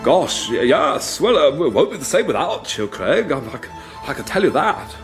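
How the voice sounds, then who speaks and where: Casting: a man playing a bouncy, rambling kids' radio presenter, slightly high and animated. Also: moderate pace, over music. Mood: flustered. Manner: chirpy, rambling, lively presenter patter. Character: jolly middle-aged male children's radio presenter. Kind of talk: sketch comedy acting.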